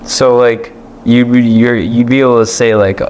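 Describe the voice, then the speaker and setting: A man with a mid-range voice hosts a laid-back podcast chat. He asks curious, halting questions padded with filler words, and a faint hiss sits under his voice. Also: medium pitch, fairly even intonation, a little mellow. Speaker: podcast host. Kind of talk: casual interview chat.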